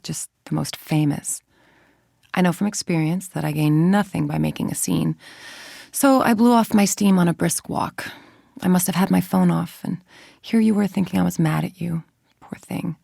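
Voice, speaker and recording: A scheming female scientist for a video game: low, heavily creaky voice, formal, controlled, dryly superior. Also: a little mellow, dry recording, clean studio sound, heavily acted. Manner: articulate, formal, dryly condescending. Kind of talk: scripted game monologue, dictated logs and letters.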